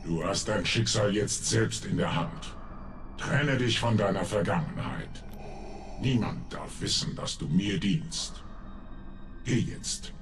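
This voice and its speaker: A man playing a menacing dark lord from a video game, speaking sternly and deliberately to his secret apprentice. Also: on the low side, faint music behind. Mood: cold, commanding. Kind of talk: dramatic game dubbing. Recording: echoey, faint background noise.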